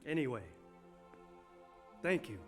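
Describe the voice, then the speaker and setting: A man's voice: on the high side, expressive, takes it slowly, faint music behind. A man acting a courteous, commanding castle lord in a dramatic game cutscene, grave and concerned. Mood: sympathetic.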